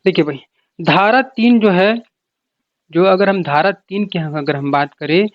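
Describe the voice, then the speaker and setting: A man's voice: sits high, unhurried, dry recording. A man giving an informal explanatory lecture as an exam coach, repeating points and asking then answering his own questions. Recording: dull lo-fi sound.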